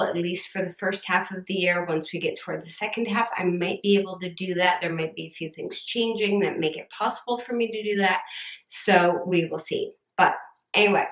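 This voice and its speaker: A woman's voice: on the low side, roomy sound. Chatty woman with a scratchy voice. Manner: chatty, rambling, upbeat. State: scratchy, slightly rough voice. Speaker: vlogger. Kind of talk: casual haul vlog chat.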